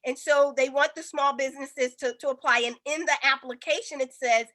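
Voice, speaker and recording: A woman's voice: sits high, steady tone, fairly quick, sharp tone. A woman consulting for small businesses, talking in a rambling way with many ums and restarts on a casual group video call.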